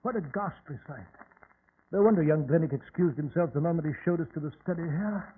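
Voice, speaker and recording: An actor playing an excitable, easily baffled British gentleman sidekick in an old-time radio drama, blustering and exclaiming. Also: fairly high pitch, brisk, lightly acted. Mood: puzzled, astonished. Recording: tinny, band-limited old radio recording with hiss.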